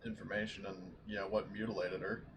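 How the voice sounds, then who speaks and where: A man's voice: on the low side, level tone, fast, background noise. A man chatting casually as a player in a tabletop role-playing game.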